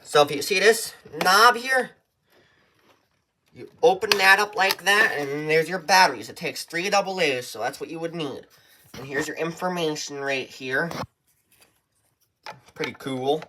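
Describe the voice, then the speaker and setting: Casual man presenting over clear background noise. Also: fairly high pitch, measured pace, pauses often. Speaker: tutorial presenter. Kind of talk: casual hands-on gadget tutorial. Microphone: handheld camera.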